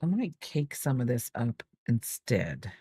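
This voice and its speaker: A woman's voice: rumbling low, big pitch swings, a little slow, warm tone, breathy edge, no room sound, studio quality. A middle-aged woman chatting casually as a crochet host, in a rambling way, trailing off and repeating herself. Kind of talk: casual chat while crocheting, counting stitches aloud.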